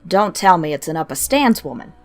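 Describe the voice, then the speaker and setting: A woman voicing a confident, teasing female shopkeeper, blunt and dry with a soft heart, in a story reading. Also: on the low side, very animated, fairly quick, faint music behind. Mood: wry, puzzled.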